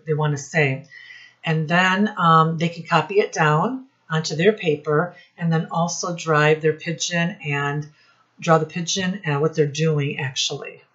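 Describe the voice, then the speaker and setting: Low-voiced American woman teaching young children. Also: dull audio. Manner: instructive, asks questions, addresses listeners directly. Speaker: children's teacher and read-aloud host. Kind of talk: storytime lesson addressed to young children. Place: home office classroom.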